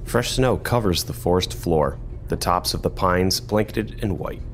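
A man narrating as game master, in a steady descriptive manner, with music behind. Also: low voice, measured pace, slight background noise. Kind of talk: scripted scene narration.

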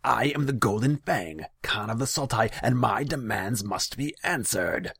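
A haughty fantasy khan, acted by a male narrator, imperious and quick to anger. He speaks in a raised, forceful pitch with some gravel in it. Every line is a pompous demand. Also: very animated, exaggerated voice. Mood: furious, demanding.

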